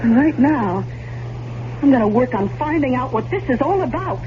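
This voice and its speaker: An American actress playing an inquisitive, suspicious ship's social director in a 1970s radio drama, halting yet insistent. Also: female voice, sits high, lively intonation. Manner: halting, then pointedly insistent. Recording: muffled, noisy 1970s radio broadcast with hum.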